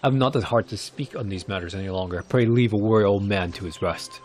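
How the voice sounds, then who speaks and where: Man voicing a deep, weary old village elder. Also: soft background music, close to an everyday voice. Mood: weary, grave. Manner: grave, archaic, deliberate phrasing. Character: weary, solemn old male village elder, gentle with children. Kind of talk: narrated fantasy game cutscene dialogue. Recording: clear background noise.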